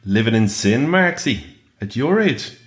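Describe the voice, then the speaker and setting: A man's voice: medium pitch, sing-song, unhurried. An actor playing an eccentric male party host in an audio drama, theatrical, mischievous and bossy. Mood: teasing.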